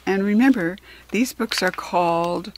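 An American woman reading a children's book aloud in a deliberate, instructive way, adding chatty asides for young listeners. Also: mid-range voice, a little hiss or hum, rough recording. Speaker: children's book reader and host.

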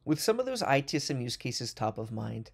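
A man presenting a product demo as a voice-over on a screen recording, explaining in a fluent, fast corporate manner with occasional restarts. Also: mid-range voice, big pitch swings, gravelly, breathy edge.

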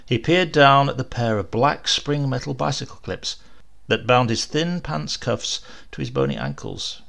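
Slow, very gravelly male story narrator. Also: mid-range voice, sing-song, a bit bright. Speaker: audiobook narrator. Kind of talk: expressive scripted story narration.

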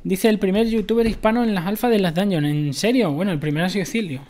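Guy streaming a game, bit high voice, chatty and explaining stuff. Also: fast talker, darkish tone. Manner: chatty, explains items to viewers. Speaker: gaming streamer. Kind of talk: casual gameplay commentary.

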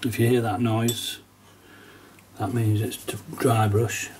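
A man's voice: on the low side, slow, distant mic, slight background noise. Nasal man with a cold teaching watercolour. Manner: relaxed, chatty, with small laughs and fillers. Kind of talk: casual step-by-step painting demonstration. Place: painting desk at home, indoors.

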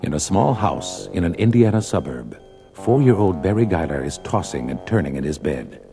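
A man's voice: low-pitched, sing-song, moderate pace, creaky, over music. A man narrating an audio drama in a dramatic scripted storytelling style. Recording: old story record with backing sound.

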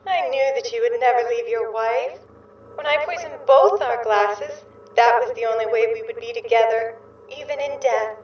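A woman's voice: fairly high pitch, normal speed, natural voice. A woman playing an obsessive, jealous, unhinged ghost lover in an audio drama, wailing and melodramatic. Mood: wounded, jealous. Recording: very echoey, distant, dull sound.

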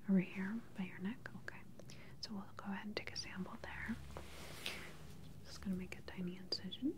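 A woman in her thirties speaks in a soft, breathy whisper close to the mic, gentle, clinical and unhurried, as a doctor in an ASMR exam roleplay. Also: fairly low pitch. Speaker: ASMR performer playing a doctor. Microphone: close ASMR microphone.

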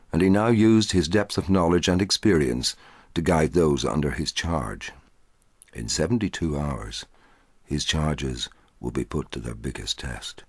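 A man reading a short story aloud in a measured, quiet storytelling voice. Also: no echo, clean studio sound. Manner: measured, quiet storytelling, dropping low for dialogue. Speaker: audiobook narrator. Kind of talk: scripted narration of a short story.

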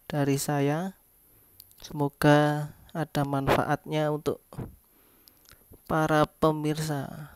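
A vlogger narrating casually in short, halting phrases, recorded indoors close to a phone. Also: medium pitch, clean tone. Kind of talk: casual vlog narration over close-up shots.

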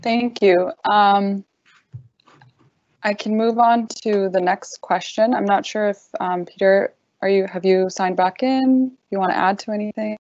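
A woman's voice: medium pitch, a little slow, darkish tone, dry recording. A woman moderating an online seminar, politely reading out audience questions. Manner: brief and polite. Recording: dry, muffled call audio.

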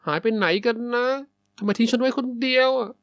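A woman's voice: fairly high pitch, steady tone, a little fast, no room sound, close to an everyday voice. A woman playing a plucky, defiant little boy goat in a children's cartoon, feisty and determined. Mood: worried.

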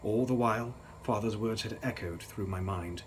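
A young man giving a measured, theatrical reading of gothic fiction as its author. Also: lowish voice, a little hiss or hum. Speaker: author reading own novel. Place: dark indoor room, on camera.

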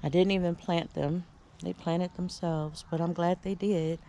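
A woman's voice: fairly low pitch, steady tone, unhurried, mellow, a little hiss or hum. A woman giving a casual, chatty tour of her backyard garden, talking from the camera as she walks, with easy fillers and restarts.

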